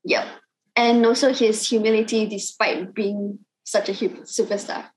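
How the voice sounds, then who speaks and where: A woman of twenty from Malaysia, a Cantonese native speaking English, answering interview questions in a soft, hesitant way with frequent ums. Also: mid-range voice, fairly even intonation, unhurried, low-quality recording. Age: 20s. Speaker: singer guest.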